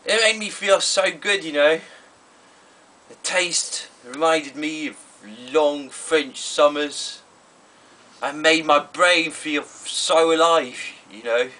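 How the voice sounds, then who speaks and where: A man playing a wretched man ruined by drink, giving a halting, rueful confession in a mock documentary interview. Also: fairly high pitch, pushed and bright, far from the mic. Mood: haunted.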